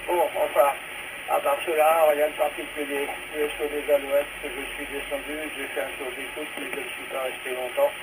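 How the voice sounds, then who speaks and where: A man's voice: fairly high pitch, level tone, normal speed. A man chatting casually as an amateur radio operator over a VHF transmission, hesitant and repeating his phrases. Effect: two-way VHF radio transmission. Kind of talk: casual radio check-in chat. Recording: noisy VHF ham radio reception.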